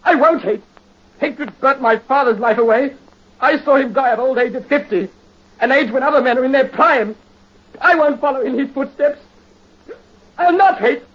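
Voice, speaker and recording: A man's voice: extremely high pitch, moderate pace, long pauses, lo-fi sound. A radio actor playing a tormented young nobleman in 1930s radio drama style, anguished and pleading, on an old recording. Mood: defiant.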